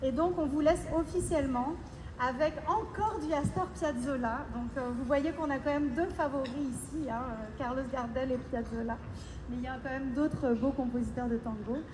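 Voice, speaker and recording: A woman with an accent from France speaks to a concert audience between pieces, halting, with many fillers and restarts. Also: high-pitched. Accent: French (France). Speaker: musician addressing a concert audience. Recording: echoey live concert recording with background noise.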